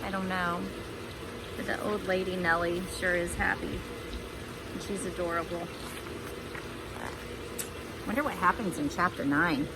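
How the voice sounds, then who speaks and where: A woman's voice: lowish voice, fairly even intonation, unhurried, pauses often, heavy background noise. A woman reading a storybook aloud for children, with chatty asides to the listeners. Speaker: storybook reader.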